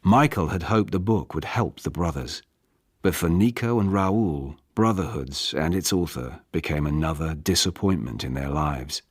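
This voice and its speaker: A man's voice: rumbling low, expressive, normal speed, slightly rough, dry recording. A British man reading literary fiction as an audiobook narrator in a studio. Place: dry studio.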